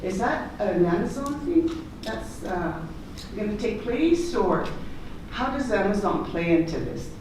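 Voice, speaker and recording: A woman with a loud voice giving informal public comment as a resident, plain-spoken and direct. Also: medium pitch, sing-song, a little slow, recorded from a distance. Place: council chamber at city hall. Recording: echoey meeting room from afar, clear noise.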